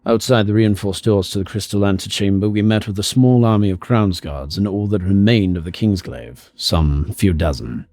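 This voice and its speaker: A man playing a composed, serious royal companion, narrating a battle in a formal, measured way for an audio drama. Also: on the low side, dark tone, dry recording, lightly acted.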